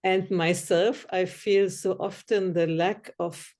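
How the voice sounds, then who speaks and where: A woman's voice: lowish voice, steady tone, slow, a little mellow. A woman whose first language is German, chatting casually in a discussion group, hesitant and often searching for words. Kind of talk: casual conversation.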